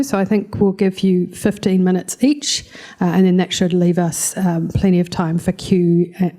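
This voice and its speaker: A woman chairing a conference panel, speaking in a measured way with many ums and uhs. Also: on the low side, mellow.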